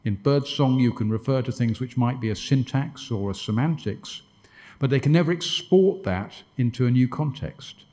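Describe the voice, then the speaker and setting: A man's voice: medium pitch, moderate pace, a little mellow. A man answering questions after his lecture, discursive, with stumbling restarts and dry wit. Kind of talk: conference Q&A answers.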